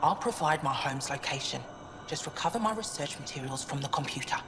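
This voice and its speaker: Deep-voiced, reserved alien scholar. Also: female voice, animated, fairly quick. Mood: earnest, concerned. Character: earnest, challenging female alien scholar, resolute and reserved. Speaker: archaeoastronomer. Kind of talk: video game dramatic acting. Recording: long reverb, clear music behind voice.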